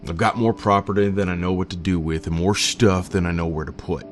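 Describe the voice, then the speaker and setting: A grumpy old widowed farmer, voiced by a male narrator, narrates a horror story in a low voice. He is folksy and self-deprecating, with a tired, dry way of grumbling. Music plays behind him. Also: fairly even intonation, brisk, close to an everyday voice. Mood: wistful, dry. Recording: voice over background music.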